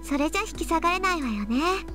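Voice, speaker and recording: A woman's voice: very high voice, lively intonation, racing, sharp tone, full character voice. A haughty, frank little girl from an anime visual novel, music playing behind her. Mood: blunt, knowing. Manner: frank, unreserved.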